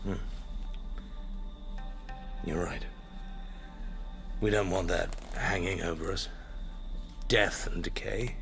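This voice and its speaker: An actor playing a self-important, possessive husband who turns from sentimental to self-pitying in a theatrical radio drama. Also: male voice, low voice, steady tone, slow pace, vocal fry, pauses often, fairly bright tone, faint music behind, full character voice. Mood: amorous, sentimental. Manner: theatrical, declamatory.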